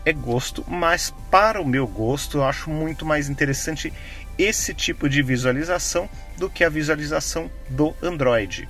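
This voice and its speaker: A man's voice: medium pitch, a bit bright. A middle-aged man with a Brazilian accent giving a casual, conversational hands-on demonstration, checking in with frequent tag questions. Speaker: tech vlogger, host. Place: inside a parked car. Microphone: phone camera microphone, no external mic.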